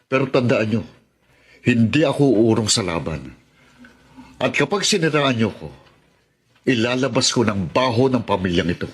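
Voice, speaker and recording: An actor playing a principled, defiant grandson and politician, firm and accusing, in a radio drama. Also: male voice, mid-range voice, lively intonation, normal speed, pauses often, husky. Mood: defiant, indignant. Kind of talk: Tagalog radio drama acting.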